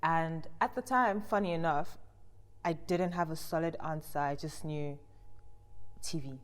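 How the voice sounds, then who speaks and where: A young woman from Nigeria, a model and TV presenter, chatting as a guest in an animated, expressive way with frequent laughter. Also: low voice, measured pace. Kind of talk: casual conversational interview. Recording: long reverb from the room.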